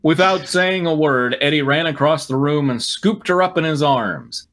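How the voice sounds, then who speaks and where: A man's voice: a little high, animated, moderate pace, a bit bright. A man reading a story aloud as host and breaking off for sarcastic, irreverent asides. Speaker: host and reader on a fan fiction riffing show.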